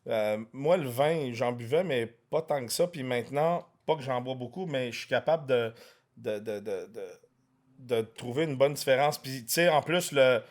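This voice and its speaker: This is a man in his forties who talks with a Quebec French accent. He chats in a relaxed, unhurried way, swears freely and often stumbles over repeated words. His voice sits at an ordinary middle pitch. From France. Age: 40s. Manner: laid-back, swears a lot, stammers on repeated words. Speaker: guest. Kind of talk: casual podcast chat.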